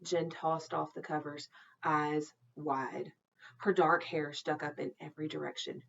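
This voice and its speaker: Lower-pitched woman reading a children's novel aloud. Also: level tone, measured pace, lo-fi sound, a little hiss or hum. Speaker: storytime reader, teacher.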